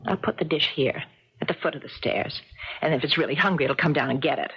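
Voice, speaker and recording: A woman's voice: sits low, fast talker, husky, full character voice. An actress playing an insistent, cat-crazy woman in a 1970s radio drama, with a theatrical manner. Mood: insistent, puzzled. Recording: muffled, noisy 1970s radio broadcast.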